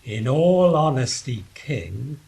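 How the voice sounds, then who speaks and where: A man's voice: mid-range voice, big pitch swings, takes it slowly, vocal fry, darkish tone, heavily acted. A man playing a dim-witted, chatty castle guard who rambles and bumbles along. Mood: bumbling.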